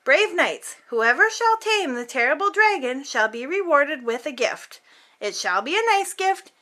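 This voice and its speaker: A woman's voice: sits high, big pitch swings, a little slow, pushed and bright, heavily acted. A woman reading out the proclamation of a pompous storybook king in a formal, declamatory manner.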